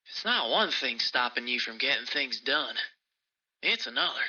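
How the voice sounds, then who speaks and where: Sharp, excitable animator, lo-fi. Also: male voice, medium pitch, moderate pace, slightly rough, heavily acted. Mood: griping. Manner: brash, animated. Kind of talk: audio log in a cartoon horror video game.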